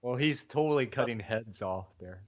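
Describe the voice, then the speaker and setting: A man's voice: medium pitch, animated, moderate pace, lo-fi sound. A man in casual podcast banter on a voice call, playful and prone to repeating himself.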